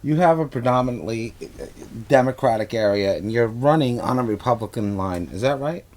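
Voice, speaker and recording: A man hosting a local interview show, asking questions casually with some halting fillers. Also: mid-range voice, lively intonation, a little mellow. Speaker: host. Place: a guest's home.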